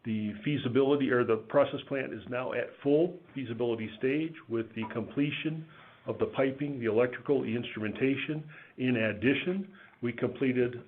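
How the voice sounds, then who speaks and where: A man giving a measured, matter-of-fact business presentation as a company executive on a conference call, over a phone line. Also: medium pitch, breathy edge. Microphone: telephone conference line. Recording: tinny, band-limited phone line.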